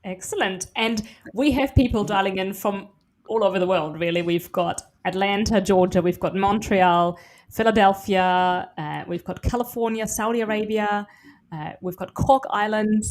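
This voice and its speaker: A woman's voice: medium pitch, normal speed. Woman moderating a live panel. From Germany.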